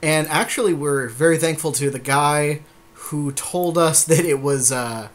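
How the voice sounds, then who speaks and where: A man's voice: a little high, measured pace. A man co-hosting a podcast, chatting casually with an agreeable, dryly joking manner during a card game draft. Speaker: podcast co-host.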